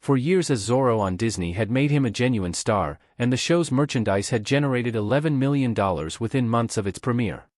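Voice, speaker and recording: A man narrates a documentary script at a steady, measured pace. His voice sits in an ordinary middle register. The recording is dry and close, with no room sound. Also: medium pitch, dry recording.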